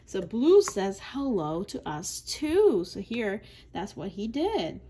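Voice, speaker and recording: A woman's voice: medium pitch, big pitch swings, takes it slowly, warm tone, breathy edge. A woman reading aloud to young children in an instructional way, adding her own asides and stretching out key words. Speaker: children's book reader.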